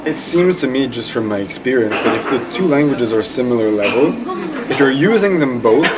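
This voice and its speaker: A young man from Eastern Canada chatting casually in an interview, relaxed and rambling with lots of fillers. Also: medium pitch, brisk, low-quality recording. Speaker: interview guest. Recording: loud crowd noise behind.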